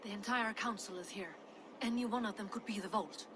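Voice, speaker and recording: A woman's voice: mid-range voice, a little fast, lightly acted. Solemn Viking-age noblewoman, noisy surroundings woman acting. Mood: earnest. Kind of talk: cinematic video game acting.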